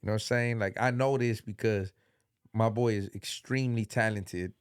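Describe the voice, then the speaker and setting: A man's voice: on the low side, fairly even intonation, normal speed, smooth, dry recording, clean studio sound. A middle-aged man hosting a podcast in a loose, rambling way, congested and full of slang and fillers. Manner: rambling, filler-heavy, laid-back slang. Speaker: podcast host. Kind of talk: casual chat.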